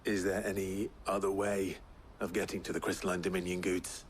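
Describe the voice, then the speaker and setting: A man's voice: low-pitched, little pitch movement, brisk, a little hiss or hum, lightly acted. An actor playing a stoic, earnest male hero in a fantasy video game, speaking in a terse, restrained way. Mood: calm, earnest.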